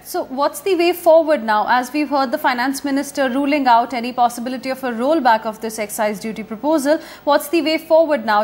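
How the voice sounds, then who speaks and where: High-voiced woman news anchor. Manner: probing, rephrases questions. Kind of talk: business news interviewing. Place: TV studio.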